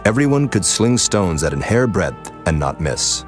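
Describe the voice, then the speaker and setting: A man narrating scripture from a script in a solemn, measured manner. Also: deep voice, sing-song. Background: music.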